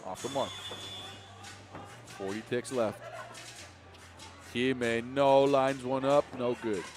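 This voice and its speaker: A man with a Dutch accent giving fast live basketball play-by-play, his energy rising with each play. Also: medium pitch. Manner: energetic, rising with the action, self-mocking asides. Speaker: play-by-play commentator. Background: music.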